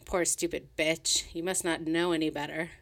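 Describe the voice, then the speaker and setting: A woman voicing a drunk, volatile young woman who rants sarcastically and swings into shrill outbursts. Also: little pitch movement, normal speed. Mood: spiteful.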